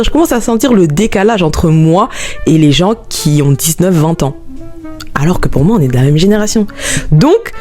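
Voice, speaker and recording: Vlogger, woman, mid-twenties, chatty and expressive, soft music under her voice. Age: 20s. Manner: chatty, expressive, swoops up high when excited. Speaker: YouTuber. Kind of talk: casual vlog talk to camera.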